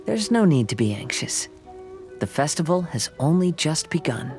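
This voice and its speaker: A woman playing a calm, cautious, protective companion in a video game, speaking reassuringly. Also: low-pitched, normal speed, over music. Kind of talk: video game character acting.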